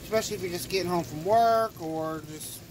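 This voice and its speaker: رجل يتحدث بعفوية في مطبخ مقطورة صغير. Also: male voice, high voice, a little fast. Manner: relaxed, rambling, folksy, with fillers. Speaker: home cook vlogger. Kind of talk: casual cooking vlog. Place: small RV kitchen at the stove. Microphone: handheld phone camera over the stove. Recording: kitchen noise with sizzling food.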